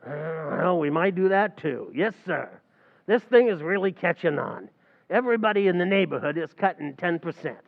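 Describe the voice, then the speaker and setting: Actor as a scheming New barman, high and bouncy, lo-fi radio comedy. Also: animated, moderate pace, gravelly. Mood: wisecracking. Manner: wisecracking, mangles grammar. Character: fast-talking scheming male tavern manager. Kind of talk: 1940s radio acting.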